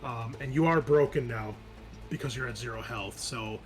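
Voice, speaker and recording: A man's voice: mid-range voice, normal speed. Man running a tabletop game over music. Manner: informal, swearing, explains rules in asides. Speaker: game master. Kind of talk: narrating a tabletop roleplaying game online. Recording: music playing behind the voice. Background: background music.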